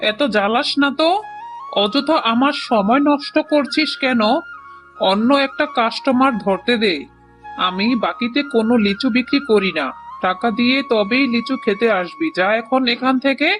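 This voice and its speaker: A woman's voice: on the high side, moderate pace, a bit bright, faint music behind, natural voice. A woman voicing a stingy male tiger fruit seller in a cartoon, scolding and dismissive. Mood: irritated. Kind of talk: Bengali cartoon voice acting.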